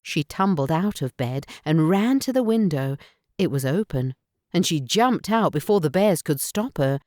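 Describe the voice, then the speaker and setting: A woman narrating a children's bedtime story in careful, expressive phrases. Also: low voice, normal speed, no echo, clean studio sound.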